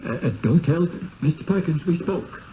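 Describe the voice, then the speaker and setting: An actor playing a timid, humble small-town villager in a 1970s radio drama, halting and folksy, as if confiding. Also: male voice, on the high side, expressive, dark tone, close to an everyday voice. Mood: nervous, secretive. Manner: halting, rustic folksy speech. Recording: muffled, hissy 1970s radio broadcast.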